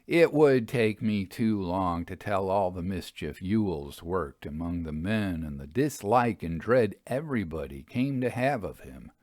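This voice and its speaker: Low-voiced man narrating an old tale. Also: animated, measured pace, hardly any pauses, a little mellow, no echo, clean studio sound. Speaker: storyteller, narrator. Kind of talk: dramatic audiobook narration of a Victorian ghost tale.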